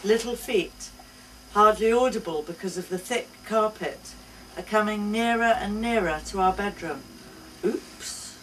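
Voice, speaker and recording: A woman giving an expressive first-person reading of a short story at a live event. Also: mid-range voice, unhurried. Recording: distant mic, faint room noise.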